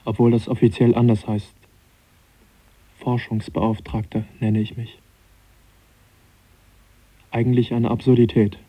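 A man's voice: medium pitch, flat delivery, measured pace, pauses often, dark tone, breathy edge, no room sound, low-quality recording. An actor playing a cautious, polite young naturalist in his twenties in a radio play. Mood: reflective. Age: 20s. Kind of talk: radio play acting and narration.

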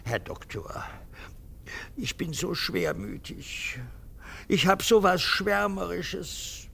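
An actor playing a pompous, sentimental and anxious army captain in a theatrical German radio drama. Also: male voice, high-pitched, very animated, takes it slowly, gravelly, bright, forceful tone, breathy edge, exaggerated voice. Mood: self-pitying. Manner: theatrical, swinging from exclamation to insinuating murmur.

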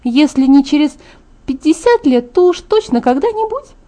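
A woman acts out an excitable young vampire girl from a storybook, pitched high. She is pushy and sisterly, gushing and pleading insistently until she gets her way. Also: normal speed.

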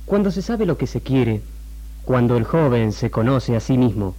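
An actor with an Argentine accent playing a formal, didactic doctor giving an interview in a 1960s radio drama. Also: male voice, medium pitch, sing-song, moderate pace, mellow. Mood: formal, explanatory. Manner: formal, lecturing. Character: measured, didactic doctor interviewed on television about marriage.

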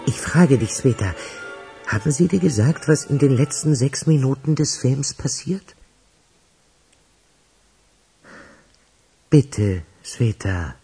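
An intense little guy, urgent and protective, lively pitch, music behind, radio play. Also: unhurried, heavily acted. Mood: pleading. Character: earnest, urgent male dwarf, protective of young woman. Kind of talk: radio crime drama acting. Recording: clear music behind the dialogue.

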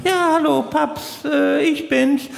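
A man's voice: very high voice, fairly even intonation, slow, vocal fry, over loud music, pitched above the performer's own voice, full character voice. A man voicing his scatterbrained, chatty young daughter in a stand-up routine, hesitant and rambling with dragged-out words. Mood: distracted, rambling. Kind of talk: stand-up comedy impression. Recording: roomy live hall, noisy background.

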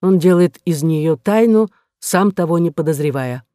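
Sly, mellow Jesuit abbot played by a woman. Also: fairly low pitch, no room sound, studio quality, natural voice. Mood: ingratiating. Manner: measured, ingratiating, unruffled. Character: smooth, flattering, sly male Jesuit abbot.

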